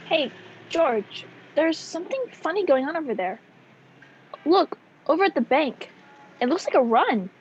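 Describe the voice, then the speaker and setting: Woman playing nosy cab driver, high voice, very animated, old radio drama, dull noisy recording. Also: a little slow. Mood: alarmed. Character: Ernie, chatty, nosy small-town male cab driver. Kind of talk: 1940s radio play acting.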